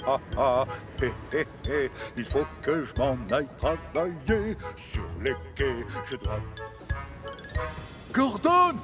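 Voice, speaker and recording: A man's voice: mid-range voice, very animated, slow. An actor voicing a forgetful, cheerful male diesel engine in a cartoon, chuckling and scatterbrained. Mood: cheerful, muddled. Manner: chuckling, scatterbrained, sing-song. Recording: cartoon soundtrack with music behind.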